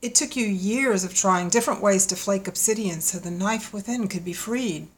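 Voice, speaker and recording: A woman's voice: mid-range voice, normal speed, slight background noise, natural voice. A woman playing a bold, questioning primeval woman in an audio drama, intent and formal in her speech. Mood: hushed wonder.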